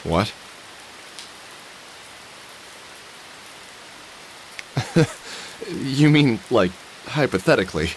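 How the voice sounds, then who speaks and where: A man's voice: medium pitch, lively intonation, unhurried, a little hiss or hum, natural voice, low-quality recording. A man playing a weary, skeptical middle-aged protagonist nursing heartbreak in a visual novel game, speaking in a hesitant, conversational way. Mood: incredulous.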